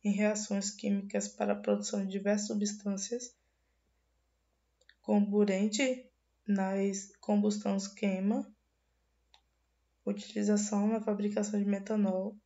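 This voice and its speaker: A hoarse young woman with a cold gives a student class presentation, her voice dark and mellow. She reads steadily from slides in a flat, even tone, often restarting words. The recording is dull and lo-fi. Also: medium pitch, unhurried, long pauses. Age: young adult. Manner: reading slides with frequent restarts.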